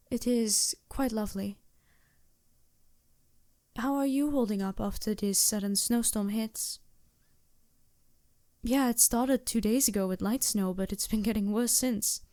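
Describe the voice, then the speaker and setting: A woman's voice: a little high, warm tone, no echo, clean studio sound. A woman playing a polite, anxious vampire posing as a traveling merchant in a fantasy audio roleplay, speaking hesitantly with small stammers and courteous apologies. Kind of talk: fantasy roleplay audio drama, addressing the listener.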